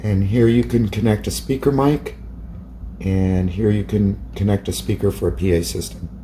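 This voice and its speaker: Low, mellow man demonstrating gear. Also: fairly even intonation, moderate pace, a little hiss or hum. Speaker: radio hobbyist presenter. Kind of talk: product demonstration narration.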